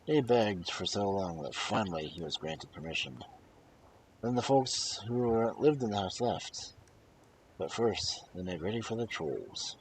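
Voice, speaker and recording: Male storyteller, lower register, very expressive read-aloud with dramatic emphasis. Some noise in the recording. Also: low-quality recording. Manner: animated, dramatic pauses and emphasis. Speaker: storyteller and host of a live reading stream. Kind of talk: expressive folktale read-aloud with casual asides.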